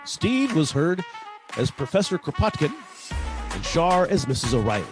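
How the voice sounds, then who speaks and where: A man's voice: fairly high pitch, big pitch swings, normal speed, music in the background. A man playing a polished 1940s radio announcer with emphatic, salesmanlike delivery. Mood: polished announcing.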